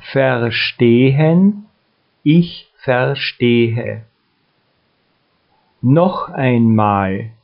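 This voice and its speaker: A man reading a listen-and-repeat language drill, saying each short phrase slowly and deliberately with long pauses between them. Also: medium pitch, muffled recording. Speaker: language teacher.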